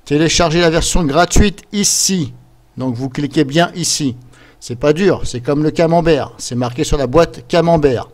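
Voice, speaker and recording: A man presenting a casual screen-capture software tutorial, explaining things step by step in an informal, chatty way. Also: on the high side, normal speed. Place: voice-over recorded at a computer during screen capture.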